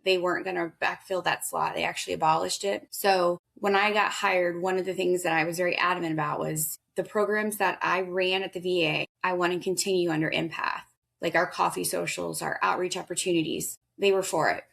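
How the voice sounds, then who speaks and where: A chatty woman in her thirties tells her story as a guest on an interview show, with a big, energetic voice. Her voice sits a little low and has a gravelly edge, and she keeps slipping into quotes of what she said. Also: fairly quick. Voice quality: loud, big voice. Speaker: guest, veterans outreach worker. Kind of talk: casual interview talk.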